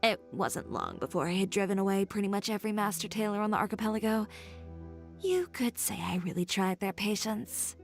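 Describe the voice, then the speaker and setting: A woman's voice: medium pitch, animated, a little fast, natural voice. A woman voicing a headstrong young woman tailor in a game, speaking in a cool, assertive, matter-of-fact way over faint music. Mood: composed.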